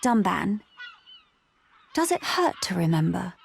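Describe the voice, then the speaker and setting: A woman's voice: fairly low pitch, very animated, slow, creaky, slight background noise, heavily acted. A woman voicing a dignified, solemn young noblewoman in a video game, formal and composed. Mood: questioning. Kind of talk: dramatic video game voice acting.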